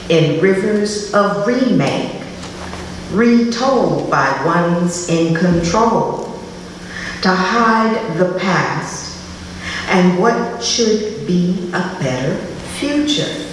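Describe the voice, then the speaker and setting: Slow-paced woman poet in an echoey hall. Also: on the low side, expressive. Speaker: poet reading at a literary festival. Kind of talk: live spoken-word poetry reading with informal asides. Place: live public reading in a reverberant room. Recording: echoey hall, distant mic, faint noise.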